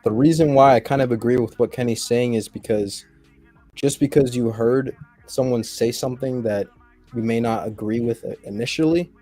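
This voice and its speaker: A man on a panel explaining his view in a measured way. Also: mid-range voice, mellow, soft background music.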